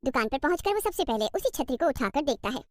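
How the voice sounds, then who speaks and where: Female cartoon story narrator, high-pitched with lively inflection, very dry recording. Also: quick pace, no echo.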